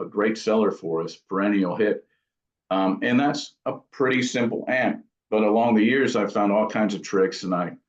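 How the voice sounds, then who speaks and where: A man talking casually as an interviewed amp builder, explaining technical details informally in a thoughtful, halting way. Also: lowish voice. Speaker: amp builder and company founder being interviewed. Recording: dull lo-fi sound in an echoey room.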